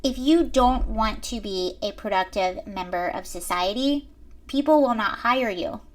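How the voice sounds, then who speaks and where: A young woman with a high-pitched voice giving emphatic commentary straight to her viewers. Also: unhurried. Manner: emphatic, lecturing, addresses viewers directly. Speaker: commentary host. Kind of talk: reaction commentary to camera.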